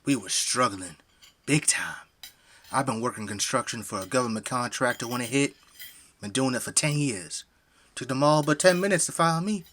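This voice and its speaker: A man's voice: medium pitch, animated, moderate pace, a bit bright, a little hiss or hum, lightly acted. An actor playing a friendly, folksy middle-aged family man with an American Southern accent in an audio drama. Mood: reflective, good-humored. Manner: effusive, folksy, hospitable.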